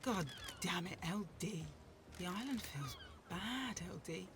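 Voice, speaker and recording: A woman's voice: fairly low pitch, animated, unhurried, noisy background. A woman voicing a sassy, casual female video game character in short, punchy catchphrases. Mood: familiar, casual. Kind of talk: short stylized game voice barks. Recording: loud music over the voice. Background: loud game music.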